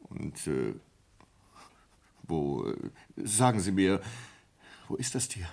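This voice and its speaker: A man playing an agitated, gruff, suspicious sailor in a radio play, halting and then bursting out. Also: deep voice, sing-song, a little mellow, heavily acted. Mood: agitated, bitter. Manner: halting, explosive outbursts.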